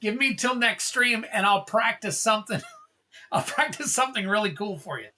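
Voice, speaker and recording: Bright-voiced casual livestream host. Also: medium pitch, expressive, normal speed, a little hiss or hum. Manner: rambling, calls out card names, thanks viewers. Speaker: livestream host opening card packs.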